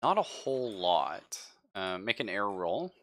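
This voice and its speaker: A man running a tabletop roleplaying game, improvising narration in a halting, casual way and pausing to think mid-sentence. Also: fairly low pitch, sing-song, a bit bright. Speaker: game master.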